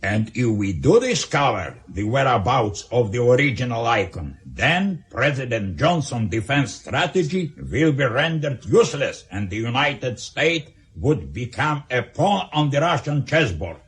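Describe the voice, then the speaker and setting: A scheming Soviet leader in a radio play. His medium-pitched voice moves widely up and down, yet he speaks deliberately, with weight and authority. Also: very animated. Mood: grave, calculating. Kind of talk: radio drama acting.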